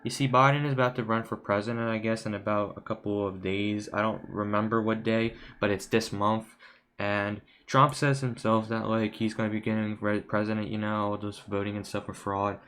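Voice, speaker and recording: A young American man with a fairly low voice talks informally to his viewers. His delivery is rambling and offhand, like casual video commentary. The home recording has some noise behind the voice. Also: steady tone, normal speed, fairly bright tone. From United States. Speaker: video creator. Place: room at home.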